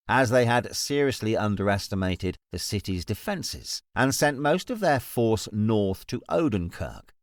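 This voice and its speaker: An actor playing a cold, contemptuous lord in a fantasy audiobook, with an imperious, cutting and formal way of speaking. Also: male voice, fairly low pitch, no echo, studio quality. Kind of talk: full-cast fantasy audiobook acting.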